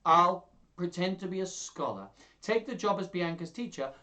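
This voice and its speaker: A man voicing an eager, lovestruck young suitor in a storybook, excitable and declamatory. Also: sits high, moderate pace, pitched above the performer's own voice. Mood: excited, scheming.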